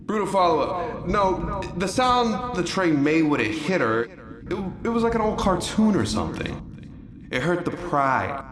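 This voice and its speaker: A man's voice: on the high side, big pitch swings, a little slow, reverberant, music in the background. An actor playing a wry male coworker telling a story with dramatic flair.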